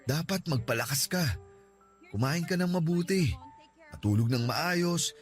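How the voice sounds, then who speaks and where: A devoted, anguished boyfriend. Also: male voice, fairly high pitch, lively intonation, measured pace, faint music behind. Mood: reassuring. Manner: gentle and reassuring, rising into tearful begging. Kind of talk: radio drama acting.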